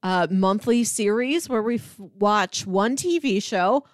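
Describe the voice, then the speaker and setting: A woman's voice: medium pitch, slow pace, dry recording. Casual woman podcast co-host, dry close sound. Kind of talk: casual film and TV podcast chat.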